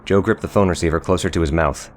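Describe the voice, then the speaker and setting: A man's voice: sits low, quick pace. A man reading scripted, dramatic audiobook narration.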